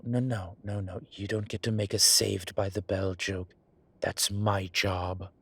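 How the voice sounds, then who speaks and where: Guy playing a flirty wry demon professor man, raspy low voice, playful audio rp, super dry sound. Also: no echo, heavily acted. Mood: exasperated, playful. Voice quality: low, husky. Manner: teasing, playful, breathy asides. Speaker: voice actor in an audio roleplay. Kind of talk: intimate audio drama roleplay, conversational.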